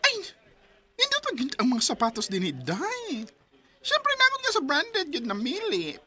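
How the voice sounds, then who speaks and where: A woman's voice: high-pitched, wildly swooping pitch, a little slow, pushed and bright, exaggerated voice. A woman with a Filipino Bisaya accent voicing a nosy, outspoken young best friend in a radio drama, exclaiming and scolding. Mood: teasing, disapproving.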